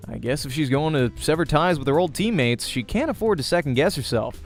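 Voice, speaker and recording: A man's voice: fairly high pitch, lively intonation, fairly quick. A man reading a visual novel aloud as the host of a let's play, narrating in character voice and prose. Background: music.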